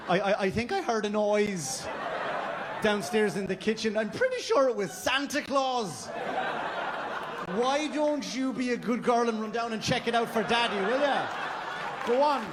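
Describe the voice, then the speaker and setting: An Irish man doing stand-up comedy storytelling, with a halting, stammering, repetitive delivery. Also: extremely high pitch. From Wexford, Ireland. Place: comedy stage before a live audience. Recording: live club stage, noisy laughing crowd. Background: audience laughter and crowd noise.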